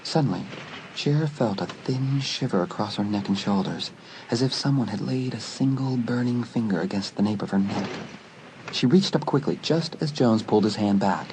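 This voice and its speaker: A man's voice: lowish voice, moderate pace, background noise. A man narrating a dramatised horror story as an audiobook narrator. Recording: loud music behind. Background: loud music.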